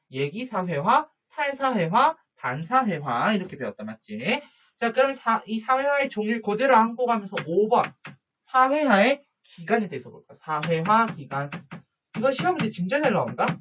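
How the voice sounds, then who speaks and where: A man teaching a high school social studies lesson, chatty, with jokey asides and quick questions to his students. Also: very animated, a bit bright. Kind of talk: online lecture to students. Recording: dull lo-fi recording.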